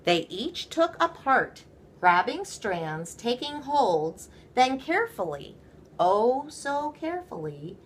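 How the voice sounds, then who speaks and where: A woman reading a children's story aloud, with playful, theatrical emphasis and drawn-out words. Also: fairly high pitch, big pitch swings, slight background noise. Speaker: storybook reader. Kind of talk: animated children's read-aloud.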